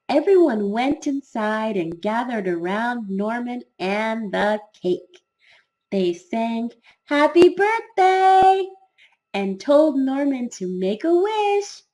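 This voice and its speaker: Highly animated woman reading a children's story. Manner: expressive, deliberate storytelling with dramatic emphasis. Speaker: storybook narrator. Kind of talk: scripted children's story narration.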